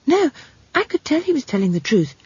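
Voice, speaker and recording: A woman voicing an earnest, unsure young girl in a storybook, soft-spoken and hesitant. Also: high voice, big pitch swings, a little fast, dry recording, muffled recording, voice pushed up. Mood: earnest, downcast.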